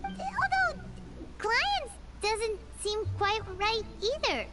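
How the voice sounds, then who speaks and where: A tiny fairy sidekick with a very high, squeaky voice that leaps all over, drawn-out and emphatic. She sounds bouncy and indignant, and loud game music plays behind her. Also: very animated, full character voice. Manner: bouncy, indignant, refers to herself in third person. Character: small excitable floating female fairy companion. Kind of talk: game voice acting.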